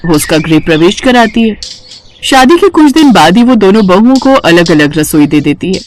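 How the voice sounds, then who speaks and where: A woman's voice: medium pitch, sing-song, normal speed, a little hiss or hum. A woman narrating a scripted children's bedtime story.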